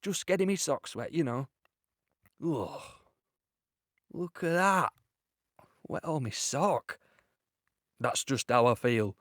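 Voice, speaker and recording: A man playing a young soldier in an audio play, telling his war story in a conversational, excitable, unbothered way. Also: medium pitch, takes it slowly, long pauses, dry recording, clean studio sound. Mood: animated, wry. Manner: conversational, storytelling with repeated "you know". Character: young British soldier recounting D-Day, excited, chatty and unbothered. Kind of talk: dramatic first-person monologue in audio play.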